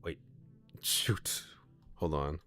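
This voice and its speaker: A man's voice: very deep voice, very slow, fairly bright tone, faint music behind. A man streaming a game, reading his choices aloud with chatty comments and frequent laughs.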